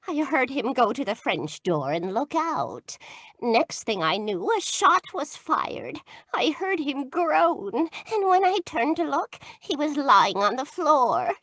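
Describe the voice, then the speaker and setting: A woman playing a distressed, indignant wealthy wife in a radio mystery, speaking in a deliberate, dramatic way. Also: high voice, a bit bright, dry recording, full character voice. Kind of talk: 1940s radio mystery acting.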